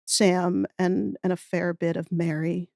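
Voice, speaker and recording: A woman's voice: fairly low pitch, flat delivery, a little slow, gravelly, mellow, dry recording, clean studio sound. A woman in her thirties chatting as a podcast guest in a thoughtful, wry, nerdily enthusiastic way. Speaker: podcast guest, audio fiction writer. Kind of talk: casual interview conversation.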